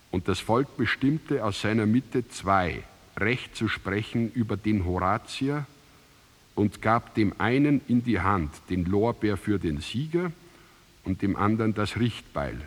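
A man narrating a literary drama as a solemn, declamatory and deliberate recitation. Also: lowish voice. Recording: music under the voice. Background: music.

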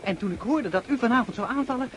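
A man acting an eager young army officer in a radio drama, stammering with breathless excitement. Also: extremely high pitch, quick pace. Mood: earnest, hesitant. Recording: noisy old radio play recording.

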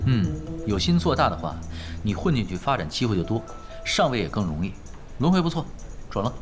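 A man's voice: fairly low pitch, sing-song, brisk, music in the background. A man playing a laid-back, shrewd gamer in an audio drama, with a teasing, matter-of-fact manner. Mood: cool teasing. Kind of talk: audio drama acting in dialogue.

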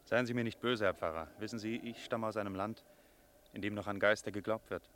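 Actor as a deep-voiced, haunted mystical stranger. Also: male voice, fairly even intonation, fairly quick, a bit bright, a little hiss or hum, close to an everyday voice. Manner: solemn, poetic, haunted. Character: eerie, mystical wandering stranger from Masuria. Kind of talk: German radio drama acting.

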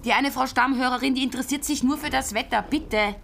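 Casting: stressed, biting Austrian news presenter, rather high voice, dry complaints, radio drama, noisy clicking backdrop. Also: female voice, fast talker, creaky, a bit bright. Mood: sarcastic. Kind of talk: naturalistic radio drama acting.

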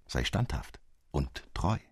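A man voicing a mysterious, gentle old godfather in a storybook, speaking softly and solemnly. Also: rumbling low, sing-song, gravelly, breathy edge, no room sound, clean studio sound, heavily acted. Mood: gentle solemnity. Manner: soft, slow, solemn.

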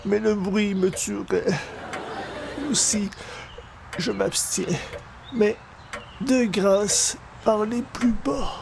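A man playing a hungover, irritable newspaper columnist in a radio comedy, pained and halting. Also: high-pitched, steady tone, gravelly, noisy background, heavily acted. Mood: exasperated. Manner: pained, halting, pleading for quiet. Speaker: actor in an audio comedy. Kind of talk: comedy in the manner of old radio shows.

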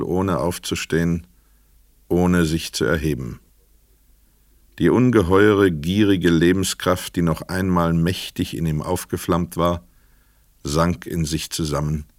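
A male narrator reads literary prose for a radio drama. His voice is low and he takes his time with each phrase. The sound is close and dry. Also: no room sound.

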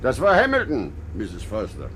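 A man's voice: fairly low pitch, wildly swooping pitch, normal speed, fairly bright tone. A man playing a glum chauffeur in a German radio play, deferential on the surface but drily insubordinate. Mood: glum, matter-of-fact. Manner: dry, deferential yet cheeky. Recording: faint vehicle noise.

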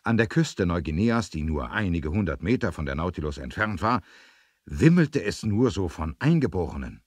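Deep, animated male storyteller. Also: moderate pace, husky, no room sound, clean studio sound. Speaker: narrator. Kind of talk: dramatic scripted storytelling for 1970s adventure radio play.

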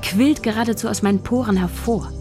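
An actress playing a shy young woman scriptwriter, narrating her own story in a quiet, earnest first-person voice. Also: a little high, fairly quick, close to an everyday voice. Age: young adult. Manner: quiet, earnest inner monologue. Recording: studio radio play over music.

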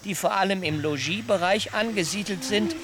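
An actor playing an excitable, flustered sect leader in a German radio play, speaking in a halting, urgent way. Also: male voice, sits high, noisy background. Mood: agitated.

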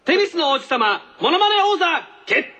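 High-pitched, animated man hosting radio. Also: moderate pace. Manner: joking, interjecting. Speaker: radio show co-host. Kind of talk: casual comic radio banter.

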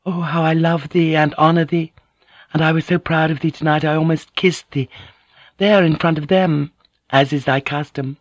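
Man voicing a devoted, proud noblewoman, pitched up. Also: fairly even intonation, normal speed, no room sound, dull audio, lightly acted. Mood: loving. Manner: formal, archaic, earnest speech. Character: devoted, proud young Japanese noblewoman, tender yet fiery. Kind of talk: audiobook character dialogue.

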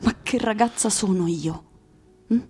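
A woman's voice: mid-range voice, a little slow, a little mellow, breathy edge, soft background music. An actress in an Italian radio drama playing a guarded, sceptical young woman with a terse, hesitant, questioning way of talking.

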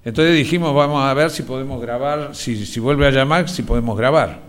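An Argentine man giving personal testimony in a halting, hesitant way. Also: medium pitch, slight background noise. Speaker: interviewee, brother recounting his sister's calls.

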